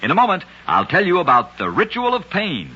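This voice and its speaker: A man narrating strange true facts in a scripted old-time radio style, with a brisk, dramatic storytelling cadence. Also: sits high, wildly swooping pitch, sharp tone, slightly rough, muffled recording.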